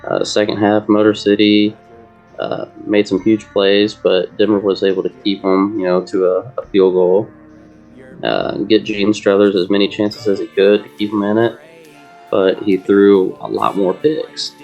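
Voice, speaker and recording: A man giving casual game analysis as a panelist, informal and somewhat halting in his phrasing. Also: on the low side, level tone, a little mellow, dull audio, faint music behind. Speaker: sports show panelist.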